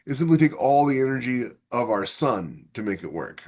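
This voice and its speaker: A man's voice: medium pitch, very animated, normal speed, a little mellow. A man co-hosting a casual science fiction podcast, talking with enthusiasm and acting out imagined quotes as he explains. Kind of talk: casual discussion of sci-fi technology.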